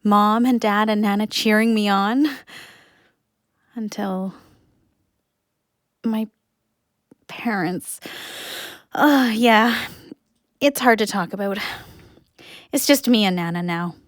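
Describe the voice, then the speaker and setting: A woman's voice: mid-range voice, takes it slowly, long pauses, no room sound, clean studio sound, natural voice. A woman playing an earnest, wistful young adult in an audio drama. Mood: reminiscing. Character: young Canadian, wistful, nature-loving, awkward and earnest. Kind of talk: audio drama narration and acting.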